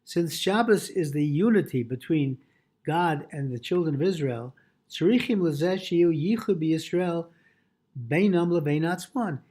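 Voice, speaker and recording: A male rabbi gives a Torah lesson in a husky, mellow voice pitched a little high. He reads a passage, translates it, and explains it haltingly, often repeating his phrases. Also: expressive. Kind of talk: religious lesson, reading and translating a text aloud.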